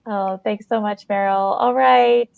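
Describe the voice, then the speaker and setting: A woman's voice: mid-range voice, fairly even intonation, a little slow, vocal fry, clear, resonant tone, dry recording, narrow, tinny audio. A woman co-hosting a podcast in casual chat, speaking in an effusive, encouraging way. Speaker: podcast co-host. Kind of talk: casual interview chat with plugs.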